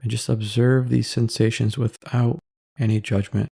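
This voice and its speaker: A man leading a guided meditation in a soft-spoken, measured, calming way with pauses between phrases. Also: medium pitch, vocal fry, slightly rough, no echo, clean studio sound.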